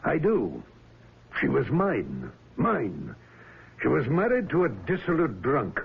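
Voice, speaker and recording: A man's voice: mid-range voice, huge pitch swings, takes it slowly, creaky, slightly rough, exaggerated voice. A man acting an obsessed, lovelorn ship's captain in an old-time radio drama, with a stern, theatrical intensity. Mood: anguished, brooding. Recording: muffled, hissy old radio broadcast recording.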